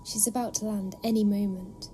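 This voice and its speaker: A woman's voice: mid-range voice, steady tone, normal speed, mellow, soft background music, close to an everyday voice. A woman in an audio drama playing a haunted, devout alien nurse who speaks solemnly and often slips into a whisper. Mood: resigned. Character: haunted alien nursing sister, eerie, devout, enthralled.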